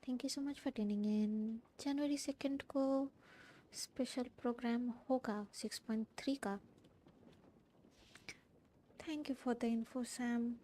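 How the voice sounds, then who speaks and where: Mellow, higher-voiced Indian woman streaming. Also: slight background noise. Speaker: game streamer. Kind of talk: casual livestream commentary in mixed Hindi and English.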